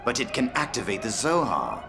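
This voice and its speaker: An actor playing a cold, contemptuous villain in a video game. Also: male voice, medium pitch, wildly swooping pitch, moderate pace, creaky, background noise. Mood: cold, mocking. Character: cold, contemptuous villain indifferent to a planet's fate.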